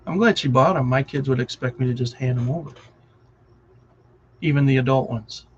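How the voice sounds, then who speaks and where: A man's voice: mid-range voice, moderate pace, highs cut off, slight background noise. A middle-aged man with a Wisconsin accent, chatting casually and rambling on a live stream, often distracted and chuckling.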